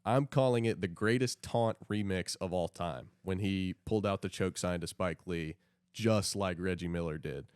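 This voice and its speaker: Low-voiced man talking sports casually. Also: moderate pace, darkish tone, clear, resonant tone, no room sound, clean studio sound. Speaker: podcast co-host.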